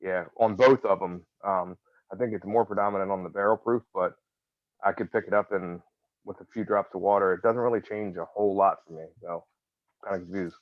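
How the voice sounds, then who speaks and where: A man gives his tasting notes plainly and thinks aloud with small pauses. His voice sits fairly low. The recording is dull, lo-fi and dry. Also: fairly even intonation, no room sound. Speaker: tasting guest.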